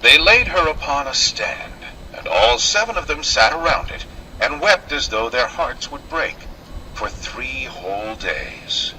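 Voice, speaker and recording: A man's voice: mid-range voice, animated, unhurried, pushed and bright, a little hiss or hum, poor audio. A man narrating a scripted fairy tale in a dramatic, old-fashioned storytelling manner.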